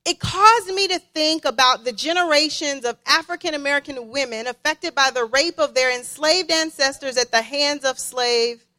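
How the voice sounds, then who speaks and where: A woman delivers a sermon in a high, bright voice. She takes her time, repeating phrases deliberately so that they land with emphasis. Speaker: guest preacher.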